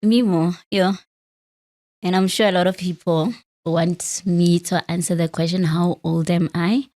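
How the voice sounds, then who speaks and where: A woman's voice: fairly low pitch, fairly even intonation, unhurried, dark tone. Candid woman in her late thirties. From Free State, South Africa. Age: late 30s. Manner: open, self-deprecating, laughs easily. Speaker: podcast host, interviewed guest. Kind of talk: candid conversational interview.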